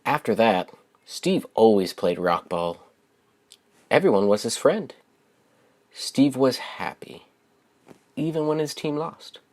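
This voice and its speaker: A man reading a children's story aloud as its author, with simple, deliberate phrasing and expressive stresses. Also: medium pitch.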